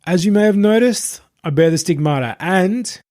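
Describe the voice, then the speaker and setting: A male narrator plays a possessed online idol who believes himself a messiah. The voice is lifted high and husky, with a composed, preaching delivery. He speaks soothingly and grandly to his followers, in the manner of an audio short story villain. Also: expressive, normal speed, a little mellow.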